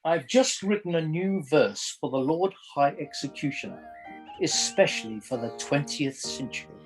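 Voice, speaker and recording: A man's voice: on the high side, lively intonation, moderate pace, music in the background, close to an everyday voice, rough recording. Grumpy old playwright, acted by a man. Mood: indignant, wry. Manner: indignant, pompous, dryly witty. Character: grumpy seventy-five-year-old Victorian playwright, curmudgeonly and wry. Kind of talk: radio play acting.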